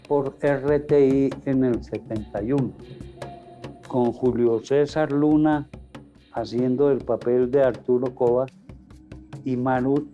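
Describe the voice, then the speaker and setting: An interviewee slowly and deliberately recalling television history. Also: mid-range voice, mellow. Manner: slow, deliberate recollection. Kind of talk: reminiscing interview talk. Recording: dull, lo-fi sound.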